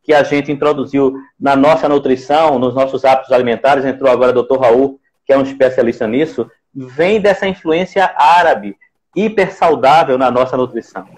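A man's voice: mid-range voice, a little fast. A man in his forties with a northeastern Brazilian accent from Recife, hosting a conversational live interview in a didactic way, repeating phrases for emphasis. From Pernambuco, Brazil. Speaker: live stream host. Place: indoor room at home. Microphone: earphone mic on a video call.

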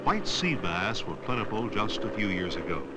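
A man's voice: lowish voice, big pitch swings, normal speed. Man narrating a noisy old educational film. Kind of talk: scripted educational documentary narration, around 1970.

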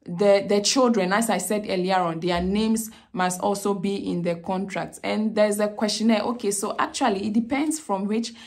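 A woman's voice: medium pitch, fairly even intonation, moderate pace, few pauses. Ghanaian woman in her 30s talking straight to her phone in a small room, lots of ums and okays. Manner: repeats "okay?" often, many fillers and restarts. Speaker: vlogger giving visa advice. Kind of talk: casual explainer to camera. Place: small indoor room with plain wall.

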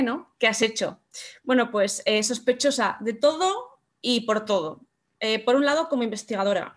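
A young woman with a fairly high voice lectures over a webcam from her room at home. She keeps it conversational and keeps throwing rhetorical questions at her listeners. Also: a bit bright. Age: young adult. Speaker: speaker giving a talk. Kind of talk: online conference talk.

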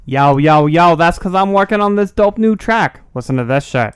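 A man's voice: fairly high pitch, animated, normal speed, soft background music, lightly acted. A man playing a brash, foul-mouthed party rapper with slangy, comic delivery. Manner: profane, slangy. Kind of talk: comic rap over hip hop beat.

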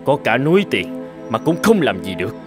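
An actor playing a greedy, foolish poor peasant in a cartoon folk tale, whining and grumbling. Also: male voice, medium pitch, big pitch swings, moderate pace, soft background music, natural voice. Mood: exhausted, despairing.

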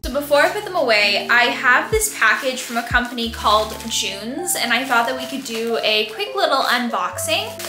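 A woman's voice: fairly high pitch, animated, normal speed. A young woman in her twenties talking casually and upbeat while cleaning her house, filmed by a camera set up in the room. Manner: chatty and upbeat, lots of "like" and "so". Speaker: lifestyle vlogger. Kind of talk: casual cleaning vlog narration. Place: rooms of a house, indoors. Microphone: camera on a tripod at a distance. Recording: echoey room with music behind.

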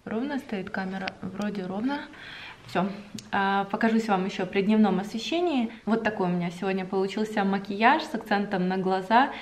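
A young woman chatting to the camera at home, enthusiastic and talkative, with drawn-out hesitations. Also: mid-range voice, over music. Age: young adult. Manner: chatty, enthusiastic about cosmetics, drawn-out fillers. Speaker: beauty vlogger. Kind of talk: casual chat to camera. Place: home room indoors.

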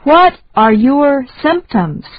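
A woman reading short phrases slowly and deliberately for learners to repeat. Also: high-pitched, big pitch swings, dull audio, slight background noise. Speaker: English lesson reader.

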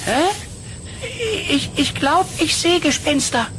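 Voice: sits high, slow. An actor playing a gullible, grumbling male hunter sidekick in a children's cartoon audio play, whiny and hesitant in delivery. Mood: flustered. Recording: noisy old cassette recording.

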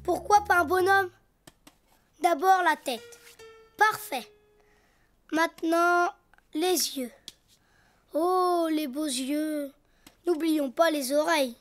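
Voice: very high voice, slow pace, pauses often, soft background music, heavily acted. A performer voicing a cheerful, imaginative little boy donkey in a children's cartoon, chatty and childlike. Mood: cheerful, busy. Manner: childlike, talking to himself, expressive.

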